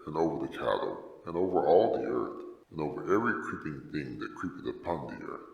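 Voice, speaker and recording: God the Creator: bottomless bass, echoing, solemn, commanding, stately delivery for scripture drama. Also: male voice, sing-song, creaky, lo-fi sound, full character voice. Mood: solemn blessing. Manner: slow, stately, solemn proclamation.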